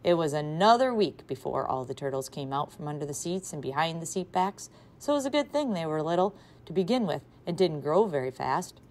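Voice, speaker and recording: A woman teacher reading a children's novel aloud to a class, with expressive, varied loudness. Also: low voice, brisk, hardly any pauses. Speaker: third grade teacher.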